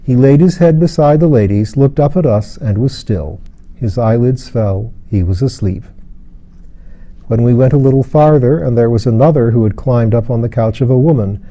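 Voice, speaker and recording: Male audiobook narrator, literary storytelling, mid-range pitch, very warm dark timbre. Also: moderate pace. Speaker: audiobook reader.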